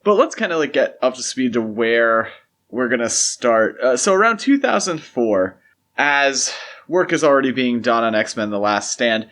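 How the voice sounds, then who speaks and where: Male podcast host, mid-pitched voice, casual discussion style. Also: animated, normal speed, a bit bright.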